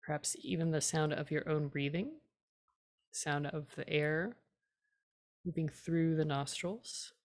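A woman guides a meditation in a fairly low voice with plenty of vocal fry. She gives gentle, simple instructions in a calm and unhurried manner, leaving space between phrases. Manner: calm, unhurried, leaves long pauses. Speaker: guided tea meditation teacher.

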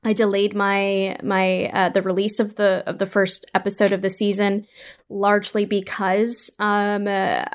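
Woman, creative guest in an interview, mid-range voice, careful and halting phrasing. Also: medium pitch, completely flat delivery, clean tone. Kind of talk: conversational interview.

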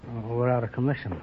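A man from North Wales answering as a quiz show contestant, politely and a little hesitantly. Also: medium pitch. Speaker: contestant, lighthouse keeper. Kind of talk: live quiz show interview. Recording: muffled old radio recording, some noise. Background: studio audience.

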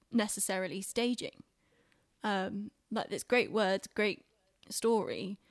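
A woman's voice: medium pitch, dry recording, studio quality. A young woman chatting casually on a podcast, recounting things in a discursive, hesitant way. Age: young adult. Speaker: podcast speaker, theatre maker. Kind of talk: casual chat, anecdotal.

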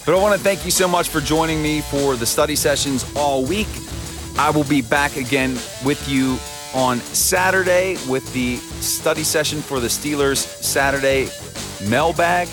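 A man hosting a solo sports podcast, talking through upcoming games in an upbeat, chatty way, his voice temporarily husky. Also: fairly high pitch, moderate pace, over music. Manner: upbeat, chatty analysis with a cheerful sign-off. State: voice temporarily husky and lower than his norm. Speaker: podcast host.

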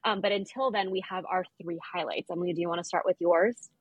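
A woman's voice: lowish voice, steady tone, fast talker, slightly rough, no echo. A woman co-hosting a podcast, talking casually about sports in a conversational style. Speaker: podcast co-host.

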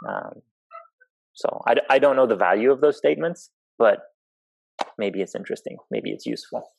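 A man from Zimbabwe with a fairly low voice talks in a reflective, conversational way. He is thoughtful and halting, often searching for the right words. The sound is very dry, with no room echo. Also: wildly swooping pitch, gravelly, a little mellow, slightly rough. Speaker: interview guest, professional climbing route setter.

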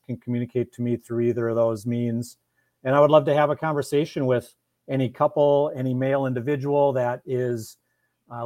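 A man's voice: medium pitch, darkish tone, no room sound. Man with a dry, close sound. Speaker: podcast guest, marriage coach. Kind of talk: casual interview conversation.

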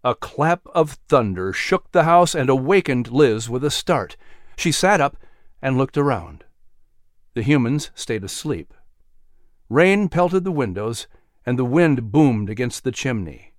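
A man playing an announcer who reads a story in a measured, dramatic way, as scripted narration. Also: mid-range voice, big pitch swings, dry recording, clean studio sound. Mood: narrating.

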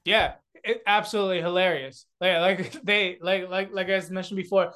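Korean comic guest, young guy, high voice, animated storyteller with lots of restarts. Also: moderate pace. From South Korea. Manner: animated, many restarts and fillers, acts out voices. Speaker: guest, comedian and beatboxer. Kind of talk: casual chat.

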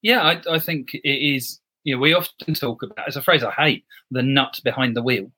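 A man with a bright, forceful voice, talking on a podcast. He is reflective and builds his argument point by point. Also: medium pitch, moderate pace, breathy edge. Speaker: driving instructor guest. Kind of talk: podcast discussion.

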